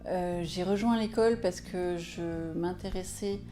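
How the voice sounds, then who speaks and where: A woman math teacher giving a calm, measured self-introduction. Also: lowish voice, faint music behind.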